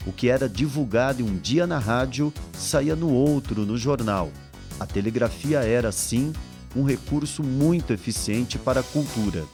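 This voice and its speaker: A man's voice: mid-range voice, normal speed, darkish tone. A man with a Brazilian accent reading scripted documentary narration. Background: instrumental music.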